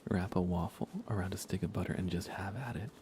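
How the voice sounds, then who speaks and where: A soft-spoken man chatting casually on an ASMR gaming livestream, rambling unhurriedly and often slipping toward a whisper. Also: deep voice, steady tone, quick pace, slight background noise. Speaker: livestreamer playing a video game.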